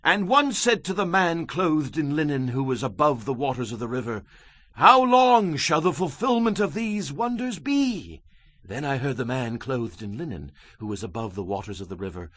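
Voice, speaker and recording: A grave prophet delivering visions of the end in a dramatized scripture audiobook. His delivery is emphatic and theatrical, with very wide swings of intonation at a medium male pitch. The sound is dry, with hardly any room to it. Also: a bit bright, no room sound. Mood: grave, awed. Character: solemn male prophet recounting apocalyptic visions. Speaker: dramatized audiobook Bible reader.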